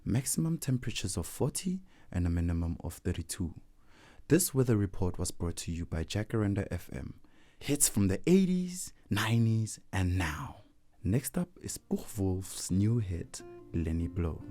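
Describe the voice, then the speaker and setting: Man acting as very deep-voiced formal radio announcer. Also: very animated, dark tone, no room sound. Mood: smooth, promotional. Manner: formal, measured announcer delivery. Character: formal male radio announcer reading bulletins.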